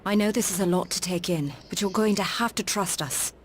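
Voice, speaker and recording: A woman's voice: mid-range voice, brisk, a little hiss or hum, close to an everyday voice. An actress playing an earnest, practical woman rebel in a video game, speaking in a firm, matter-of-fact way. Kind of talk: scripted video game dialogue.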